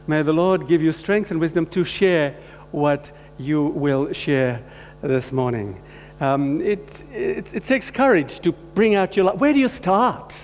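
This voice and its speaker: A man from Croatia giving a personal testimony sermon as a guest speaker, talking haltingly with many restarts. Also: on the high side, darkish tone. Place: church hall. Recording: long hall reverb, faint hum.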